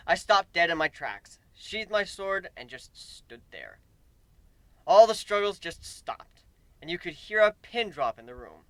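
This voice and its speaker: An expressive man narrates a story with lively, swinging intonation. His voice is slightly high, clean and bright, with an emphatic dramatic delivery. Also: unhurried, dry recording. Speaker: amateur story reader.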